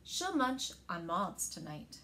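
A woman in her thirties reads picture books aloud to young children with expressive, deliberate phrasing, recorded indoors in a living room. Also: on the low side, a little hiss or hum. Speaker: storyteller at a library story time.

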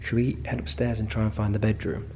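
A young man vlogging a walk through a dark abandoned building, chatty, jokey and easily startled, often dropping to a hush. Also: fairly low pitch, little pitch movement, fast. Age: young adult. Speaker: ghost-hunting vlogger. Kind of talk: casual exploration vlog. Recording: echoey rooms, background noise.

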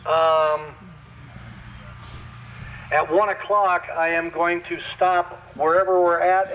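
A man working as an auctioneer, calling sales in a repetitive patter, heard through a dull distant sound system. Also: a little high. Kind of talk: auction calling with rapid chant. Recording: dull, distant loudspeaker, light background noise.

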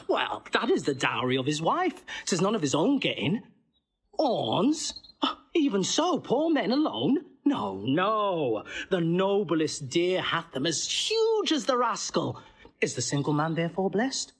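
An actor playing a witty, bawdy male court jester with a husky voice in a stage play, mocking and theatrical. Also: huge pitch swings, a little slow, fairly bright tone, exaggerated voice. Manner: mocking, theatrical, wide swings in pitch.

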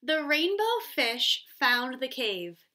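A woman in her twenties, a teacher reading aloud and explaining a story to children in a deliberate way. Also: sits high, very animated, bright, forceful tone. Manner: deliberate, explanatory, checks in with "right?". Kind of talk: children's read-aloud lesson. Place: small room at home, indoors.

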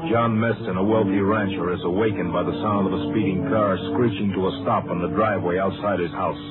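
A man's voice: deep voice, steady tone, a little fast, over loud music, close to an everyday voice. A man as the solemn narrator of a crime case in a 1950s radio drama. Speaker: story narrator. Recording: tinny old radio recording.

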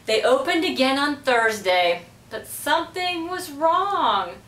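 A middle-aged woman reads a storybook aloud slowly, with a lively, fairly high voice. She sounds like a measured storyteller in a roomy space, recorded from across the room. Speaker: school principal reading aloud. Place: small indoor room with block walls. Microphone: distant camera microphone. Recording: roomy, distant camera microphone.

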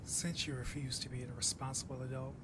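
A man voicing a harsh, domineering, mocking woman in an ASMR roleplay, swearing scornfully with drawn-out emphasis. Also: little pitch movement, close to an everyday voice. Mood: contemptuous, commanding. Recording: loud buzzing insect noise, low rumble. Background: buzzing flies sound effect.

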